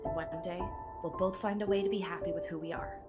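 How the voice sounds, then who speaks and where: A somewhat low voice from a woman acting as a reassuring, devoted spaceship crewmate. The delivery is gentle and earnest, in a dramatic science fiction style. Loud music plays underneath. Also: fairly even intonation, fast talker, lightly acted.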